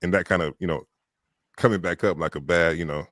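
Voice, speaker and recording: A man's voice: deep voice, steady tone, fairly quick, a bit bright, dry recording, studio quality. A man chatting loosely about narrating a story, rambling with lots of "you know" and "right". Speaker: audiobook narrator guest. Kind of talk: casual live chat.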